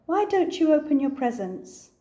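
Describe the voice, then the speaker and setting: A woman's voice: high-pitched, sing-song, normal speed, mellow, pitched above the performer's own voice. A bossy animal friend from a picture book, put on by a woman reading aloud. He explains things with emphasis in a somewhat raised voice, sure of himself. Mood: encouraging.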